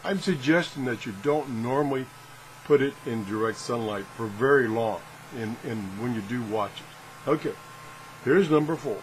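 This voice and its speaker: A man's voice: medium pitch, normal speed, low-quality recording. A man hosting a casual, hands-on product demonstration in a home workshop, talking in a rambling, folksy, self-deprecating way. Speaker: video host reviewing gadgets. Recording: steady fan and room noise.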